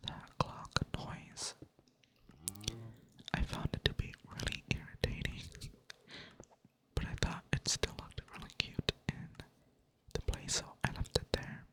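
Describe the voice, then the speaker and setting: A soft, unvoiced whisper that drifts along slowly and stays monotone, with casual, rambling chat over gameplay. It sounds close to the mic at a computer desk and is gently self-mocking throughout. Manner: monotone delivery. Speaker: ASMR gaming creator.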